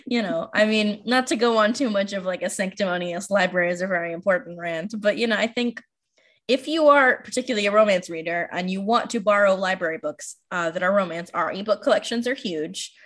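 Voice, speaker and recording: An American woman talks in a casual, chatty way, like a podcast host among friends. She rambles freely and drops in self-deprecating asides. Her pitch sits in the middle for a woman. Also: a little fast, a bit bright. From Washington, D.C., United States. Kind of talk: casual chat.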